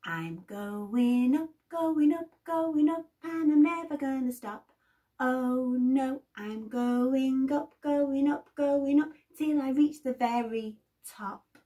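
A woman voicing Jack, an excited little boy, in a chirpy singsong manner in a read-aloud storybook. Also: high-pitched, takes it slowly, recorded from a distance.

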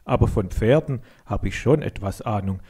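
Man playing a genial, mellow fatherly uncle. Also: fairly low pitch, moderate pace, breathy edge. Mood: modest, calm. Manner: genial, gently teasing. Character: friendly, fatherly milk inspector, good-humoured uncle.

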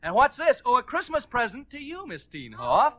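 An American man hosting a live 1940s radio game show with excitable, hurried patter from the stage. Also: extremely high pitch, background noise. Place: on stage before a studio audience. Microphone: stage microphone. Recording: dull 1940s radio recording.

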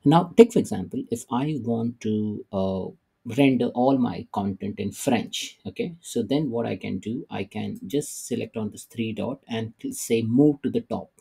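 A middle-aged man giving a screen-recorded software tutorial, hesitant, with many "uh" and "okay" fillers. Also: fairly low pitch, measured pace, vocal fry, husky. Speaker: tech tutorial presenter.

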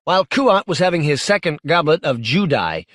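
Male audiobook narrator, slightly high pitch, dry close sound, measured, articulate, literary fiction reading. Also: very animated, fairly bright tone, no echo.

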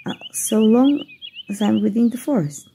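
A shy, giggly young fairy who keeps stumbling over her words, her voice a little high but soft and mellow, as a woman voices her. Faint birdsong drifts behind her in this gentle ASMR roleplay. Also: moderate pace, a little hiss or hum, lightly acted. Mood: flustered. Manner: giggly, bashful, stammering. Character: playful flustered young female fairy. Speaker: voice actor.

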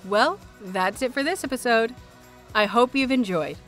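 A woman reading a scripted story aloud as an expressive audiobook narrator. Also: a little high, moderate pace, soft background music.

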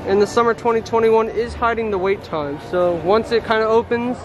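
A man's voice: sits high, fairly even intonation, a little fast, lo-fi sound, over music. A young man chatting casually as he walks a theme park and films with a handheld camera. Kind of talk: casual walking vlog narration. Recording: loud crowd and outdoor noise. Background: crowd and park noise.

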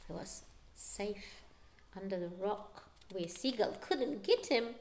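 A woman's voice: mid-range voice, expressive, slow, darkish tone, slight background noise. A middle-aged woman reading a picture book aloud to young children at home, with dramatic delivery and explanatory asides. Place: living room at home.

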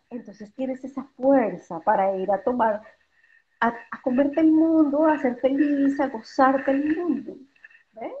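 A woman's voice: fairly high pitch, slight background noise. A woman in her forties, a guest speaker on a video call from a room at home, explaining with emphasis, asking rhetorical questions and acting out what parents say. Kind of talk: explanatory interview talk. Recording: dull lo-fi video call audio.

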